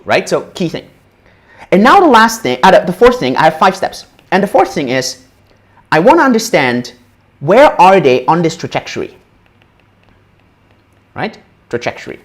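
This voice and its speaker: Animated man lecturing casually at his desk. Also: sits high. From Austria. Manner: animated, casual, frequent "right?" tag questions. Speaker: crypto educator. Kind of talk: livestream whiteboard lecture.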